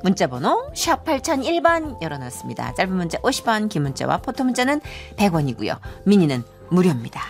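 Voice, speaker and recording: A woman's voice: lowish voice, sing-song, fairly quick, hardly any pauses. A woman co-hosting a radio show from a studio, chatting and reading out notices in a playful, joking way close to the microphone. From Seoul, South Korea. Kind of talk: lively radio chat and sponsor reads. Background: faint background music.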